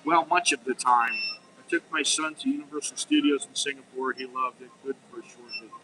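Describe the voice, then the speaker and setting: A man's voice: mid-range voice, fairly quick, sharp tone, a little hiss or hum, poor audio. A middle-aged American man talking frankly in a rambling, unscripted monologue, full of restarts and "you know.". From United States. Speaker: vlogger.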